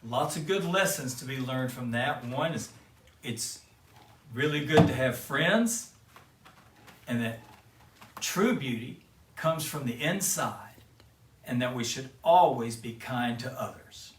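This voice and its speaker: A man gently reading a children's storybook aloud, soft-spoken and sometimes dropping to a hush. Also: mid-range voice, animated, slow pace, long pauses. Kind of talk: reading a picture book aloud to kids. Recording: roomy sound from a distant mic with guitar music. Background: guitar music.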